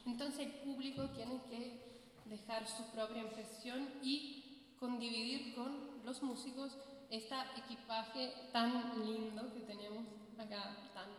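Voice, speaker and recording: A woman talking with the audience between songs as singer and bandleader, relaxed and chatty with hesitant fillers, on a stage mic. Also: on the high side, slow pace, a little hiss or hum. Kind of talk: between-song stage banter. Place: concert hall with a live audience. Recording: very echoey hall, long reverb, faint guitar.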